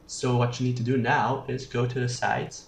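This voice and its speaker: A presenter giving an unscripted screencast coding tutorial, haltingly thinking aloud while typing. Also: mid-range voice, little pitch movement. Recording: roomy, distant mic with clear background noise. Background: keyboard typing and mouse clicks.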